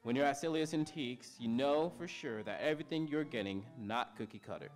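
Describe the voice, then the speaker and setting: A man's voice: mid-range voice, animated, a little fast. A man reading a scripted radio sponsor advertisement as announcer, occasionally stumbling over the copy. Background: music.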